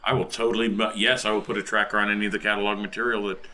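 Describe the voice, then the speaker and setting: A man playing a tabletop roleplaying game, talking casually and haltingly through his character's moves. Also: lowish voice, little pitch movement, crowd noise.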